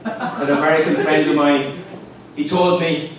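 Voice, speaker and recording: Young man, Irish accent, stand-up comedian, deadpan one-liners, slightly high voice, distant mic, very echoey room. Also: measured pace. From Ireland. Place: live comedy gig with an audience laughing. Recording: distant, roomy live recording with audience noise.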